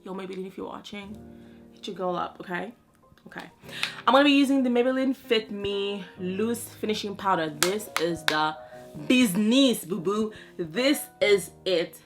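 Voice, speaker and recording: A woman's voice: mid-range voice, expressive, moderate pace, music in the background. A young woman whose first language is French, chatting through a makeup tutorial in a sassy, playful way with slang asides. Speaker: beauty vlogger.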